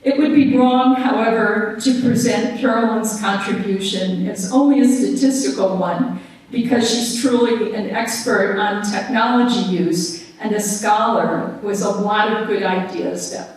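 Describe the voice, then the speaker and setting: A woman's voice: medium pitch, fairly even intonation, unhurried, distant mic. A woman colleague giving a formal, admiring introduction of a guest speaker in a lecture hall. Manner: warm, admiring, read-out. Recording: echoey hall, heard from afar.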